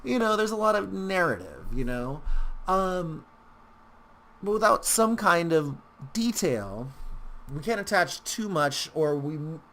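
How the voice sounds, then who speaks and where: A man hosting a casual software tutorial, talking in a rambling, informal way with wry asides. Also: high voice, very animated, unhurried. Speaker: tutorial host.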